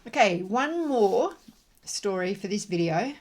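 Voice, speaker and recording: A middle-aged woman facilitating emotional freedom tapping, repeating affirmation phrases slowly and rhythmically between calm, chatty explanations. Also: mid-range voice. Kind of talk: guided tapping demonstration.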